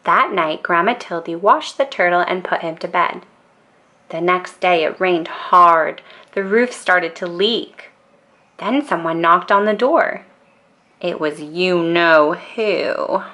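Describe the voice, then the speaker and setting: A woman storyteller narrating a children's bedtime book in a calm, expressive and deliberate way. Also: lowish voice.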